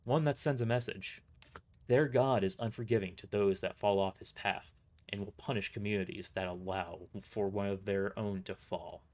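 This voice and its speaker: A man's voice: lowish voice, steady tone, moderate pace, darkish tone, close to an everyday voice. A man playing a weary mercenary boss who gives grave, matter-of-fact briefings in an audio drama. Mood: grave, explanatory.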